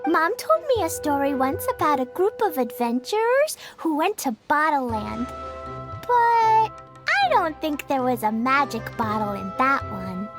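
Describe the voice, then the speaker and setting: A small, cheerful young girl speaks in a very high, lively voice at a slow, careful pace. Her bubbly, childlike manner fits a video game. Game music plays behind her. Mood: curious. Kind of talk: video game cutscene dialogue.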